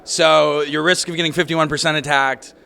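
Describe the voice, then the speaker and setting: A man interviewing a guest as a friendly skeptic, talking fast and swearing casually. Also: fairly high pitch, steady tone, vocal fry, sharp tone. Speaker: interviewer, startup founder.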